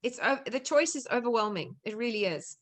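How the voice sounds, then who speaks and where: A woman's voice: a little high, animated, a little fast. A woman with a South African accent chatting informally and reassuringly as a guest nutrition coach on a video call. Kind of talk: casual online presentation and chat.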